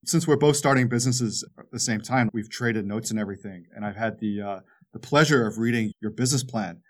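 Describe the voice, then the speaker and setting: A man's voice: on the low side, brisk. A man hosting a podcast, putting prepared questions to his guest. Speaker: podcast host. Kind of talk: podcast interview.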